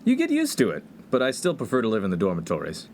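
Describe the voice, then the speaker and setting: A male actor plays an awkward 1950s college freshman, earnest and innocent. His slightly low voice swings widely as he gets flustered. It is comic radio-play acting with faint noise behind. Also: huge pitch swings, quick pace.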